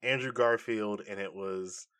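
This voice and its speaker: A man co-hosting a podcast in casual chat, informal and often halting as he thinks aloud. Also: lowish voice, a bit bright. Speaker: podcast co-host. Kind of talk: casual chat, pitching comic movie ideas.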